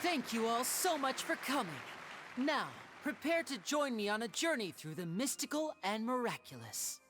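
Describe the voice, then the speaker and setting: Lively youthful stage magician. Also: female voice, on the high side, normal speed, crowd noise, noisy background. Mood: showy. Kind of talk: theatrical video game character acting.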